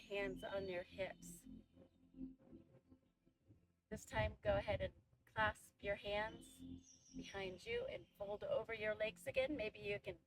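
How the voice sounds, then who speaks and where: Yoga instructor, young woman, slightly stuffy and nasal from allergies, unhurried and encouraging, medium pitch. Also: vocal fry, low-quality recording. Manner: slow, calm cueing with soft encouragement. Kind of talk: guided yoga class instruction.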